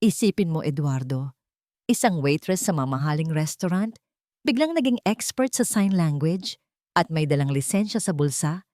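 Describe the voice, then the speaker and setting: A woman voicing a cunning, manipulative wife who feigns tender concern, soft and coaxing, in an audio story. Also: deep voice, expressive, moderate pace, dry recording, clean studio sound. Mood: insinuating. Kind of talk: dramatic audio story dialogue.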